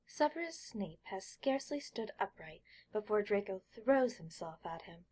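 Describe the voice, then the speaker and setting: A woman with a slightly husky voice reading scripted fiction as an audiobook narrator. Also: mid-range voice.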